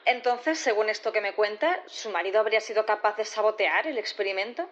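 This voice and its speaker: A woman's voice: medium pitch, fairly quick, muffled recording, lightly acted. An actress playing a shrewd, insistent prosecutor in a sci-fi audio drama, formal and rhetorical in her questioning. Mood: probing. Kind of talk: audio drama courtroom acting.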